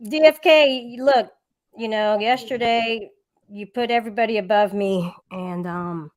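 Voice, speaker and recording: Woman, Puerto Rican accent, high voice, playful teasing, online voice chat. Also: measured pace. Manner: teasing, laughs often, protests playfully. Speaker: panel guest. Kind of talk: joking online voice-chat banter.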